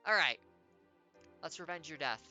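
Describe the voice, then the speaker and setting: A woman's voice: sits low, animated, normal speed, pushed and bright, faint music behind. A woman streamer reading a choose-your-own-adventure game aloud, with playful, wry jokey asides.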